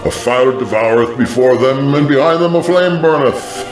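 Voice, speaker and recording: A man's voice: mid-range voice, normal speed, natural voice. A man voicing a biblical prophet proclaiming doom, declaiming scripture in a grand, ominous manner. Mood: ominous proclamation. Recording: echoing voice over music. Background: music.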